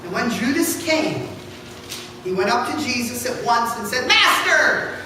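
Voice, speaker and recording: A male pastor giving a solemn, dramatic scripture reading with measured, emphatic phrasing. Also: very high voice, lively intonation, fairly bright tone. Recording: echoey, noisy hall from far off.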